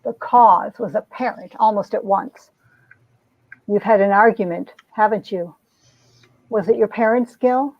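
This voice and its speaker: A woman's voice: mid-range voice, measured pace, long pauses, dry recording. A woman playing a wounded, proud young heroine, narrating and acting out a romance comic with earnest melodrama. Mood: tender, anxious. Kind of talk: dramatic reading of a 1950s romance comic, narration and dialogue. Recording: dull, lo-fi sound.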